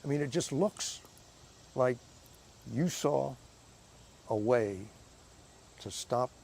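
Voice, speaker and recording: A man giving emphatic commentary, slowing down with pauses. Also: medium pitch, animated, creaky, darkish tone, a little hiss or hum.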